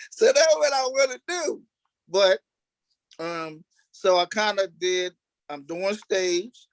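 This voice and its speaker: A man's voice: sits high, expressive, takes it slowly, long pauses, dry recording, poor audio. A man who acts on stage, casually telling stories about himself as an interview guest. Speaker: stage actor being interviewed. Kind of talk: casual storytelling.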